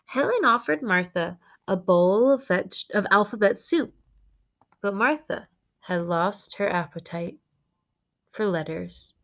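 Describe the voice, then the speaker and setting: Woman, teacher reading aloud to young students. Expressive and instructive, unhurried pace. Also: mid-range voice, gravelly. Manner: expressive, instructive, addressing children. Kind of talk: read-aloud storytelling for students.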